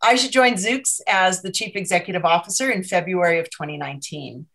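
A woman's voice: on the low side, a little fast, fairly bright tone. A middle-aged woman interviewer giving an eager, upbeat introduction on stage. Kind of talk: onstage conversation.

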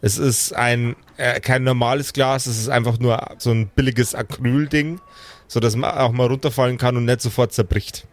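A man with a medium-pitched voice runs an improvised tabletop game. He describes scenes in a measured way, feeling his way to the right word as he narrates. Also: fairly even intonation. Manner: measured, descriptive, searching for words. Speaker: game master.

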